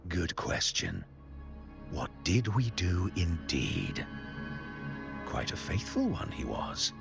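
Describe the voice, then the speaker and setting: An actor playing a sinister, silkily menacing zealot in a video game, theatrical and drawn out, sliding into whispers. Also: male voice, deep voice, huge pitch swings, slow pace, vocal fry, highs cut off, music in the background, exaggerated voice. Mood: taunting menace. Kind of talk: video game story dialogue.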